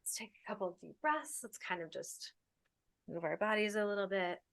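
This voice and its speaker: A woman's voice: mid-range voice, animated. Woman guiding a meditation. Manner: soothing, unhurried instruction with pauses. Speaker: guided meditation teacher. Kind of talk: guided meditation.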